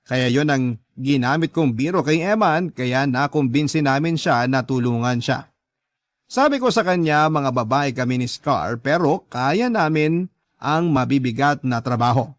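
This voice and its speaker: A man's voice: mid-range voice, no room sound, studio quality. A man with a Filipino accent giving scripted first-person narration for a radio drama.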